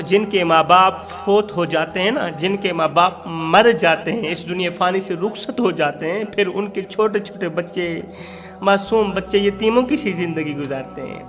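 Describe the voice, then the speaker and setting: A high-voiced male preacher delivers an emotional moral story in sermon style. His telling is dramatic and emphatic, with phrases repeated for weight. Clear music plays along behind his voice. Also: fairly bright tone.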